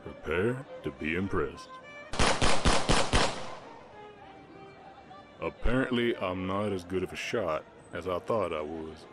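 A man playing a shy, gentle orc cowboy with a slight Southern accent and hesitant delivery in a roleplay audio drama. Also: deep voice, normal speed, loud music behind the voice, natural voice. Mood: playful. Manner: hesitant, stammering, bashful. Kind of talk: roleplay audio drama, soft ASMR acting.

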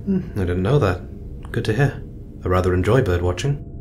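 Man playing a low-voiced, thoughtful space mission leader. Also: lively intonation, moderate pace. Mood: wistful, musing. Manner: gentle, musing, hesitant. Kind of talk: naturalistic audio drama acting. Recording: clear low rumbling noise.

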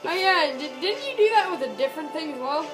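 A woman's voice: high-pitched, lively intonation, fast talker, music in the background. A woman watching a friend play a game, chatting and offering advice in a teasing way. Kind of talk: casual chat.

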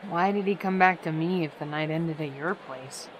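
A woman as a wary, very low-pitched mare. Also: normal speed, lo-fi sound, a little hiss or hum, close to an everyday voice. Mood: dry, protective. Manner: dry, guarded, skeptical. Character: wary, protective older-sister pony on the rodeo circuit. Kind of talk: fan audio drama dialogue.

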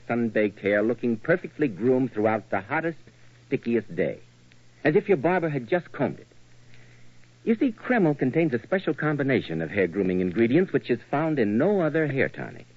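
A man's voice: medium pitch, no echo. An American man hosting and reading sponsor copy in a polished, emphatic 1940s radio announcer style. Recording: dull, lo-fi old radio recording.

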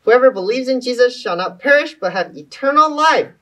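A woman's voice: on the high side, lively intonation, a little fast, lightly acted. A woman voicing an earnest, friendly puppet who preaches the gospel in a scripted children's show. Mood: earnest, reassuring.

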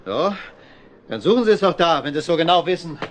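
A man's voice: a little high, big pitch swings, quick pace, fairly bright tone, slight background noise. An actor playing a shifty, irritable young man in a radio play, blustering and evasive. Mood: defensive, evasive. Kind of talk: German radio play dialogue.

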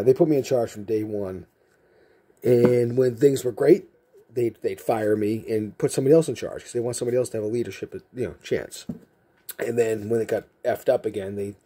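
A man with a very dark, mellow and husky voice, from Massachusetts, with a softened Massachusetts accent. He talks casually and rambles from one joking aside to the next as he sorts cards in a room lined with shelves. The camera mic picks him up while he stays out of frame. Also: fairly low pitch. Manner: rambling, chatty, jokey asides. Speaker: card collecting channel host. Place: indoor room with card shelves.

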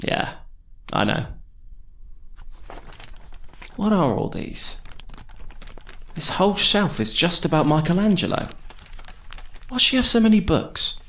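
A man's voice: high voice, sing-song, a little slow, long pauses, fairly bright tone, full character voice. An actor playing a dry, sardonic male investigator in an audio drama.

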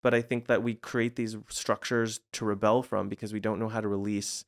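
A man in his forties with a lowish voice, raised in Los Angeles, whose first language was Croatian. He talks as an interview guest, reflective and meandering, thinking aloud and circling back with plenty of fillers. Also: level tone, fairly quick. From United States (Los Angeles area). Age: 40s. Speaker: guest, art therapist. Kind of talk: casual conversational interview.

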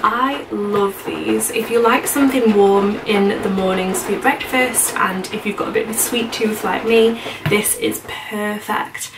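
A woman's voice: mid-range voice, fairly even intonation, measured pace. A woman in her thirties with a British accent, chatting casually and informally to camera as a home vlogger. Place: indoors in a home kitchen and small utility room. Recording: echoey room, distant mic, noisy background.

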